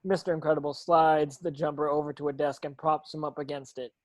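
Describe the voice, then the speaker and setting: A man's voice: a little high, little pitch movement, a little fast. A man narrating stage directions from a script at a table read, sometimes tripping over words.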